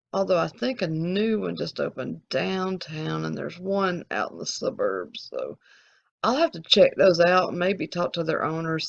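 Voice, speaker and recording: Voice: medium pitch, gravelly, dull audio. An adult card-channel host with a Southern Alabama accent, answering viewer questions in a candid, rambling way with restarts. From American South. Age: adult, collecting since the eighties. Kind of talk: casual Q&A to viewers.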